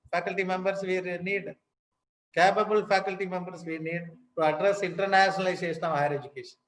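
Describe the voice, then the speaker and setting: An older man with an Indian accent giving a formal lecture, emphatic and pausing often, repeating key words. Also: high voice, a bit bright. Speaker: academic speaker. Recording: echoey hall.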